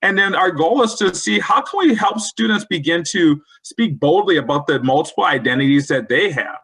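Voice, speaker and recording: A man's voice: sits high, animated, moderate pace, a bit bright, slightly rough. A man presenting a professional development webinar for school counselors, talking in an earnest, coaching way and quoting example phrases.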